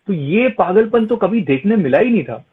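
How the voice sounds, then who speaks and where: Man, Indian accent, husky voice, casual radio interviewer, dull band-limited recording. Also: high voice, expressive, moderate pace. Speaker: interviewer, entertainment radio host. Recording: muffled, radio-like lo-fi sound.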